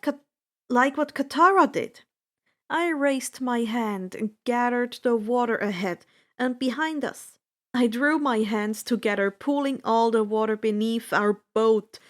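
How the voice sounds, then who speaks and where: A woman reading fan fiction aloud as an expressive first-person narrator, with a deliberate, dramatic delivery. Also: on the high side.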